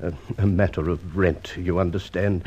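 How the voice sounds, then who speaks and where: A man's voice: deep voice, steady tone, normal speed, a little mellow, breathy edge, highs cut off. An actor playing a proud, grateful old man down on his luck, speaking formally and hesitantly in a radio drama. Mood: courteous, rueful. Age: older. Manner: courteous, formal, wordy, hesitant.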